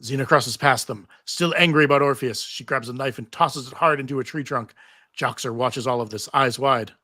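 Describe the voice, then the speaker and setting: A man's voice: mid-range voice, normal speed, sharp tone, dry recording, studio quality. A man reading stage directions as narrator in a live table read.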